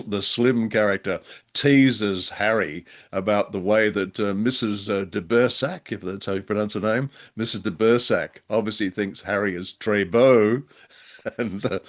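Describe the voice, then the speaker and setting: A man with a fairly low voice talks as a podcast co-host in an unscripted discussion. He is polite and wry, and his phrasing halts and hesitates as he searches for words. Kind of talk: unscripted discussion of old-time radio drama.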